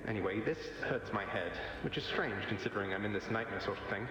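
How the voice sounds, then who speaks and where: A dry, wry young underworld prince, his lowish voice drenched in echo. It rings with long, dreamlike reverb, as in a mythic game. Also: fast talker, background noise. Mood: wry, uneasy. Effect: heavy dreamlike echo and reverb. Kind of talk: scripted game acting. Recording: very echoey, long hall reverb.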